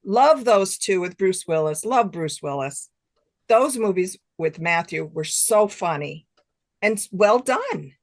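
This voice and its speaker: A woman's voice: medium pitch, animated, takes it slowly. A woman author chatting casually as an interview guest, enthusiastic and repeating phrases for emphasis. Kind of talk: casual chat.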